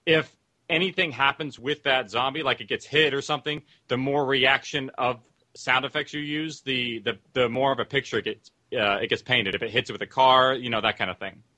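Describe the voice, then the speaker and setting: Male sound designer on a panel, mid-pitched and bright, explaining in conversational terms. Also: fairly even intonation, fairly quick. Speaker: audio drama producer and sound designer, panel guest.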